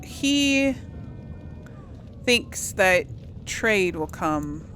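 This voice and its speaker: A woman's voice: medium pitch, lively intonation, drawn-out words, a bit bright, slight background noise. Casual woman musing through a game. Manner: musing aloud, conversational. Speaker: gaming channel host. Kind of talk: casual game role-play commentary.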